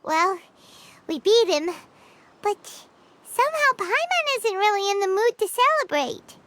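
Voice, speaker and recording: A woman voicing a tiny, excitable, childlike fairy companion in a video game, exclamatory and expressive. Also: very high voice, a little slow, a little hiss or hum, full character voice. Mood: downcast. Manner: refers to self in third person, exclamatory, expressive. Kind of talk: animated video game voice acting. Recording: music behind the voice.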